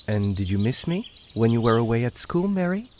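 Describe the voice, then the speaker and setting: A man's voice: medium pitch, very animated, fairly quick, a little mellow, slight background noise, natural voice. An actor playing an earnest, devoted young man in a radio drama, speaking with an old-fashioned turn of phrase. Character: young male village lover, earnest and devoted.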